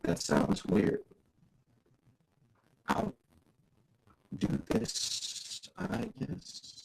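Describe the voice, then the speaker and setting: Gravelly, drawn-out male livestreamer. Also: high voice, big pitch swings, poor audio. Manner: laughs often, repeats himself, talks back to chat. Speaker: livestream host. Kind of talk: casual livestream chat with viewers.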